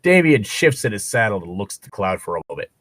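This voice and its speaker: Low-key joking man, close dry recording. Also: very animated, fast, fairly bright tone, no echo. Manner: wry, joking asides. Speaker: co-host of a fan fiction reading show. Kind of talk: casual riffing while reading fan fiction aloud.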